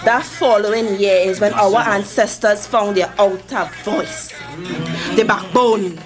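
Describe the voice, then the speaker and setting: A woman's voice: mid-range voice, slow, close to an everyday voice. An actress playing Mavis, an older Trinidadian community matriarch who tells her people's history with passion. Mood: impassioned. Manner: storytelling, impassioned, preaching history. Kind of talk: radio drama acting. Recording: music behind the voice.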